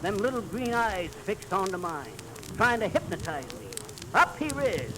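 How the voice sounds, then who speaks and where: Folksy american blowhard spinning fish stories, high voice, old timey radio comedy, noisy recording. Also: male voice, sing-song, normal speed. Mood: dramatic, swaggering. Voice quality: folksy, colloquial diction. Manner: bragging, theatrical storytelling. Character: boastful, folksy male teller of tall fishing tales. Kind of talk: 1930s radio comedy acting.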